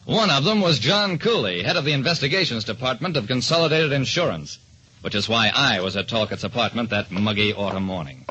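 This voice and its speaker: A man's voice: medium pitch, animated, brisk, bright, forceful tone, a little hiss or hum. An actor, a man, playing a hard-boiled private detective who narrates his case in a clipped, dramatic old-radio style. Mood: wry, dramatic. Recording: dull old-time radio recording.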